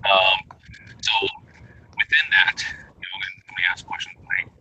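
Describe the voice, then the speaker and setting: A man making a philosophical point in halting speech full of fillers, talking into a phone that cuts in and out. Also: bright, forceful tone. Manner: halting, many "you know" fillers. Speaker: discussion participant. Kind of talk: philosophical argument in casual chat. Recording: noisy, fluctuating phone audio.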